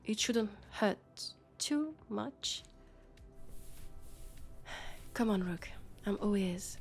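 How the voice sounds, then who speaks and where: A woman with a French accent playing a worried, exasperated female police colleague and roommate in an intimate sci-fi audio roleplay, speaking softly and hesitantly. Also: medium pitch, lively intonation, very slow, creaky, soft background music, full character voice. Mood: matter-of-fact, coaxing.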